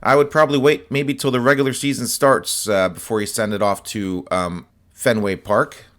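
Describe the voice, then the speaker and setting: A man with a slightly hoarse, scratchy voice, chatting casually as host while showing autographed sports cards. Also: mid-range voice. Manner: relaxed, chatty, many fillers and asides. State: slightly hoarse, voice partly lost. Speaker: host of a card-collecting channel.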